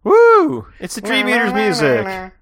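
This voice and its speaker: A man's voice: sits high, huge pitch swings, measured pace, a bit bright. A man co-hosting a podcast, reacting in casual, jokey chat and speculating aloud. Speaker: podcast co-host.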